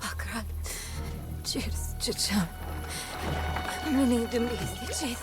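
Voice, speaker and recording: A young woman playing a tormented, determined sorceress in a game, halting and deeply emotional. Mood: chanting, intense. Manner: halting, anguished, highly emotional. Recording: loud music under the voice.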